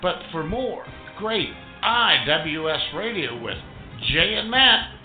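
A man voicing a bombastic sports director in a parody radio report, with pompous, theatrical, drawn-out delivery. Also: sits high, sing-song, phone-quality sound, exaggerated voice. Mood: pompous, folksy. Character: bombastic male sports director mangling words and names. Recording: roomy echo over music bed.

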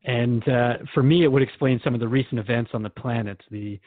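A man's voice: mid-range voice, fairly quick, no echo, phone-quality sound. A man hosting a conversational radio interview, speaking informally and reflectively, with some hesitancy as he thinks aloud. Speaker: radio show host and interviewer.

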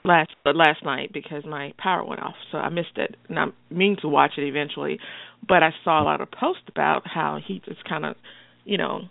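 A woman co-hosting a call-in radio show, chatty and expressive, often laughing as she talks. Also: low voice, a little fast, gravelly, no echo. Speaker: radio co-host. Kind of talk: casual radio banter. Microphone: phone line. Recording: phone-line call-in radio, dry.

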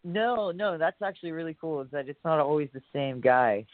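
A man's voice: a little high, expressive, fairly quick, dry recording. A man from Hawaii, a musician guest in a casual interview, talking in a loose, rambling way with many fillers and curse words. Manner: laid-back, rambling, lots of fillers and swearing. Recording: dry, phone-like audio.

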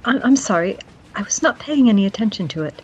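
A woman's voice: medium pitch, expressive, fast talker, slightly rough, muffled recording. An actress playing a polite, easily hurt young woman in a radio drama, earnest and plaintive. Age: young adult.

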